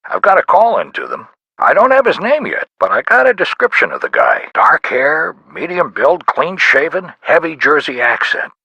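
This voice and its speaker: An actor playing a folksy, helpful investigator in a video game, with a gravelly, husky voice and casual, colloquial talk. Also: male voice, fairly high pitch, wildly swooping pitch, normal speed, bright, forceful tone, no echo, highs cut off, heavily acted. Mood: helpful, animated. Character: folksy, helpful tough-guy investigator making calls. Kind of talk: video game character voice lines.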